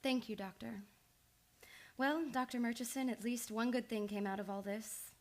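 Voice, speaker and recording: An actress playing a composed, determined and caring psychoanalyst, earnest and theatrical in an old-time radio play. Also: female voice, fairly high pitch, steady tone, normal speed, a little mellow, close to an everyday voice. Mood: reassuring. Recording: studio radio play with music behind.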